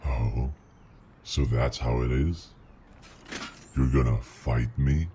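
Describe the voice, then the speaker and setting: Guy doing a super deep slow demon, threatening n bossy, hates humans, audio roleplay, noisy ambience. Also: gravelly, mellow, heavily acted. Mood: cold, menacing. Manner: commanding, threatening. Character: menacing male demon bent on killing humans.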